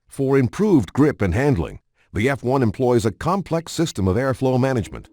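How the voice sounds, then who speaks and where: A man reading a scripted documentary narration in a measured, polished announcer delivery. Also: medium pitch, big pitch swings, a little mellow.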